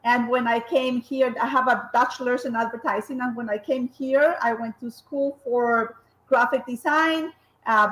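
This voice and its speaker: Guest, woman in her fifties, Colombian accent, high-ish voice, animated, restarts a lot. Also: echoey room, poor audio. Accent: Colombian Spanish. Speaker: guest, graphic designer and co-founder. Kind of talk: casual interview chat.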